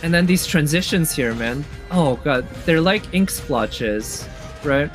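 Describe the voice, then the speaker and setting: A man with a slightly high voice comments casually on what he is watching, in the way of an online video commentator. He talks off the cuff and rambles as he works through his thoughts. Music plays behind him. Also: expressive, slow pace. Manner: rambling, thinking aloud. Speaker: video commentator ranking game trailers. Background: music from trailers playing.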